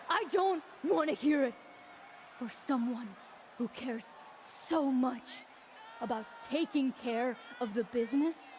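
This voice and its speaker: A woman's voice: high-pitched, a little hiss or hum. An actress playing a stern, exasperated woman in a video game wrestling drama, speaking emphatically and scolding. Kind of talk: video game cutscene acting.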